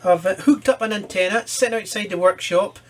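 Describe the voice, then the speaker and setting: A man's voice: sits high, a little fast. A man presenting a hobby electronics repair in his workshop, talking informally and thinking aloud as he works. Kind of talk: casual hands-on demonstration commentary. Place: workshop, at a workbench.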